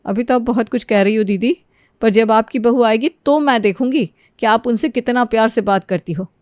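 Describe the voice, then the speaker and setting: A woman's voice: a little high, moderate pace, no room sound, clean studio sound, natural voice. A woman voicing a stern middle-aged mother-in-law who complains and scolds in a moral storybook tale. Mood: scolding, curt. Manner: complaining, sharp-tongued. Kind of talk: animated moral storybook dialogue.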